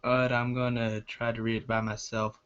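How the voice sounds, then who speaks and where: Bright-voiced man reading on a lo-fi recording. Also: mid-range voice, completely flat delivery, normal speed, smooth. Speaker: fan fiction reader, video creator. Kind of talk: amateur story reading aloud. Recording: dull lo-fi home recording.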